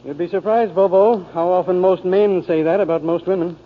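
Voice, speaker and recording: A man's voice: high voice, a little fast, natural voice. An actor playing a mild, talkative night watchman and homespun philosopher, genteel and wordy, in 1940s radio drama style. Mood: dryly amused. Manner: genteel, wordy, reflective phrasing. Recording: muffled, hissy 1940s radio broadcast recording.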